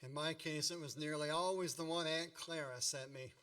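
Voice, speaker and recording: A man's voice: on the high side, steady tone, normal speed, sharp tone. A man playing a nostalgic grown narrator recalling his boyhood in a radio play, wry and tongue-in-cheek. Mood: wry nostalgia. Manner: storytelling, tongue-in-cheek, ornate wording.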